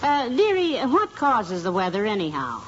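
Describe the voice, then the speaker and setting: An actress playing a patient wife who gently teases her boastful husband in a 1950s radio comedy. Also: fairly high pitch, huge pitch swings, moderate pace, highs cut off. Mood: teasing. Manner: gently teasing, calls him dearie. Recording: old radio transcription with audience laughter and hiss.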